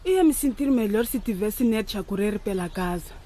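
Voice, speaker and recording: A woman's voice: medium pitch, lively intonation, moderate pace, warm tone, a little hiss or hum, close to an everyday voice. An actress in a radio drama playing a nagging, bossy elderly mother who wants grandchildren. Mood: plaintive. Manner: complaining, bossy.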